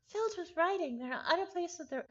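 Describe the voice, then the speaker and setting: A woman's voice: very high voice, lively intonation, fast talker, muffled recording. A woman reading a story aloud live with casual asides, often stumbling over and repeating words. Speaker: visual novel reader, streamer.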